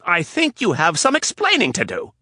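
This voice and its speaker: Audiobook: man doing a shrill, sarcastic young fiancée, husky and dry. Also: wildly swooping pitch, measured pace, no room sound, exaggerated voice. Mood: angry. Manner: shouting, biting, sarcastic. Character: furious young woman, jealous fiancée. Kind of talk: audiobook character voice.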